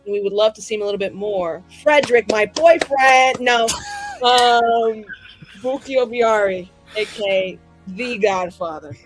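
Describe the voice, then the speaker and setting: A woman's voice: fairly high pitch, measured pace, faint music behind, low-quality recording. A woman player in a tabletop roleplaying show, casually introducing things in an exuberant, sassy, slangy way.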